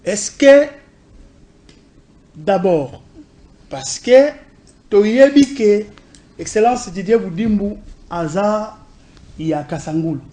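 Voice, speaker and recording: A man's voice: high voice, lively intonation, slow, long pauses, dark tone. Hesitant, formal male interviewer. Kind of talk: political interview.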